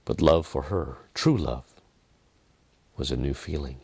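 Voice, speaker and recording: A man reading a dramatic story in a measured manner, with deliberate pauses and softened phrases. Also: very deep voice, gravelly, breathy edge, dry recording. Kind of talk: dramatic scripted narration.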